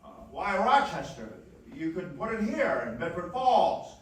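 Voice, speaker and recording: An actor playing an earnest, excitable small-town young man in a 1940s style radio play, stammering and emphatic. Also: high-pitched, a little slow. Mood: hesitant. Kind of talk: live radio play acting, 1940s American. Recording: echoey dance hall from far off, faint noise.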